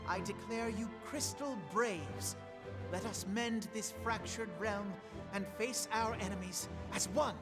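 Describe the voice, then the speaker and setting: A man's voice: sits high, a little slow. A youthful, idealistic commander in a game cutscene. He is eloquent and earnest, with formal, oratorical phrasing. Music plays prominently behind the voice. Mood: solemn, rousing. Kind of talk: scripted game cutscene voice acting.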